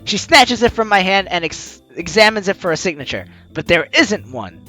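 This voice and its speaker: A man's voice: high-pitched, normal speed. A man hosting a let's play, expressively reading game text aloud and commenting, on a close mic with music behind. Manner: expressive, acts out lines as he reads.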